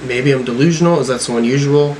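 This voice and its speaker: A man reviews music in a casual, off-the-cuff way. He thinks aloud haltingly, at a middling pitch, over some steady background noise. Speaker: music reviewer reacting to songs. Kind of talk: casual reaction commentary.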